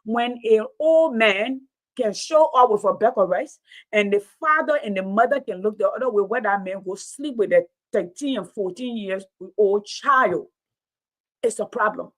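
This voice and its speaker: A woman's voice: mid-range voice, animated, normal speed, a bit bright, low-quality recording. A woman with a Liberian accent, a teacher and former radio host, giving an emphatic, passionate talk that often repeats words for stress. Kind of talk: impassioned public talk.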